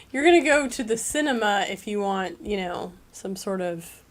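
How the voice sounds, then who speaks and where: A woman's voice: mid-range voice, animated, measured pace. A woman co-hosting a livestream, chatting upbeat and reading out viewer comments. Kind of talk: casual chat.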